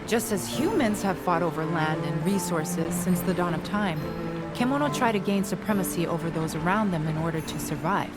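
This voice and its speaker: A woman's voice: lowish voice, normal speed, loud music behind the voice, close to an everyday voice. An actress playing a composed, scholarly woman researcher in a video game, speaking in a formal, analytical way. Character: composed, scholarly female sensei who studies monsters. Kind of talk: video game cutscene voice acting.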